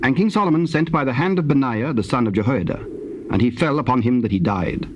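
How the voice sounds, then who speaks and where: A man narrating dramatized scripture in a formal, solemn and measured style. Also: medium pitch, highs cut off. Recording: noisy, hissy old cassette tape.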